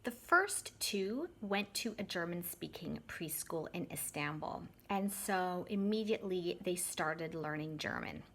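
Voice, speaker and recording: A woman's voice: on the low side, animated, a little slow, hardly any pauses, a bit bright, slight background noise. Canadian woman vlogger, 30s, chatty, talks to the camera like a friend. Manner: chatty, self-correcting, friendly direct address. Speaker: YouTube vlogger and English teacher. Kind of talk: casual talk to camera.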